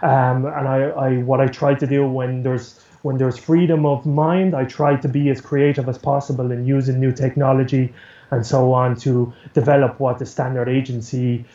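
A man's voice: medium pitch, little pitch movement, moderate pace, mellow. Roomy, muffled Irish man in interview. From Ireland. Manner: rambling, repeats words as he goes. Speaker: interview guest, news agency producer. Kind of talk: casual interview talk.

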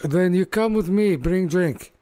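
Man doing a loud, jolly orc brute. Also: measured pace, no echo. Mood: brusque. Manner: broken English, booming and blunt. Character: huge jovial male orc chef.

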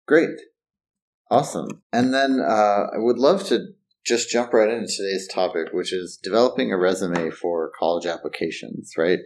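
Upbeat lower-voiced male podcast host. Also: normal speed, darkish tone. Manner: upbeat, casual, uses "right?" and "like". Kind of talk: podcast hosting and interviewing.

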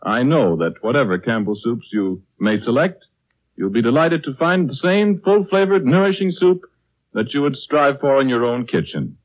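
A man's voice: mid-range voice, expressive, normal speed. A male radio announcer with a mid-range voice delivers polished, formal sponsor copy in 1930s style. The recording is thin and tinny, like a phone line. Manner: smooth salesmanlike formal delivery.

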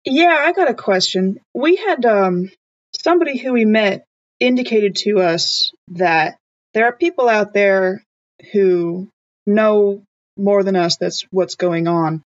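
A woman's voice: mid-range voice, slow, no room sound. Casual woman gamer on a muffled mic. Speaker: player in a tabletop roleplaying game. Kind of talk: casual game chat.

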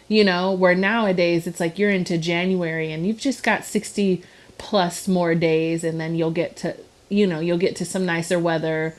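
Low-ish voiced woman, early 30s, rambly chatty podcast talk w lots of likes. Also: fairly even intonation, moderate pace. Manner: chatty, giggly, heavy on fillers. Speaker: podcast co-host. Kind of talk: casual chat.

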